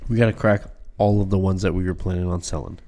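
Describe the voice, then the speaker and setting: A man's voice: sits low, steady tone, quick pace, dark tone. A man in casual, tipsy podcast chat with friends, joking loosely and swearing a lot. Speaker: podcast co-host.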